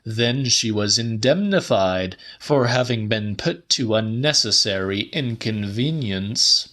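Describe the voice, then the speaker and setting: A man narrating literary fiction in a deliberate, slightly dramatic audiobook style. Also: on the low side, animated, a bit bright.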